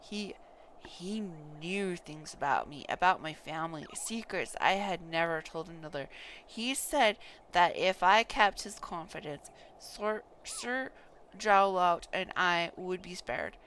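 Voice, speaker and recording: A woman's voice: on the low side, animated, fairly bright tone, slight background noise, rough recording. A woman voicing an anguished knight in a fantasy game, confessing a guilty secret earnestly and solemnly. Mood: anguished, grave. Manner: earnest, solemn, halting over names. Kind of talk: dramatic reading of fantasy game dialogue.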